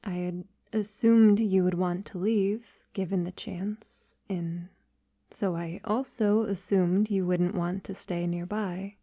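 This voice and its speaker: A refined, devoted female vampire, acted by a woman speaks slowly and intimately, often dropping to a whisper. Her voice is dark and velvety, recorded very close and dry. She addresses her lover with formal tenderness, in the style of a romantic ASMR audio roleplay. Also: fairly low pitch, steady tone, dry recording. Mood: thoughtful, gentle. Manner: soft, slow, breathy delivery slipping into whispers.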